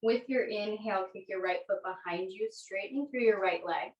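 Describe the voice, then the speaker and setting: A woman teaching a yoga class, giving gentle, unhurried guided instructions. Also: mid-range voice, dull audio. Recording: echoey room, distant microphone.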